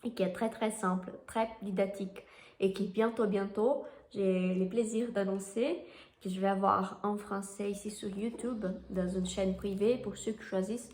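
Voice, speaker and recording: A woman's voice: mid-range voice, steady tone, normal speed, a little mellow. Enthusiastic Brazilian woman in roomy echo. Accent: Brazilian Portuguese. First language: Portuguese. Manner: enthusiastic, searching for words with many restarts. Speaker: Reiki teacher. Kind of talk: casual book talk to camera. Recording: roomy echoey room.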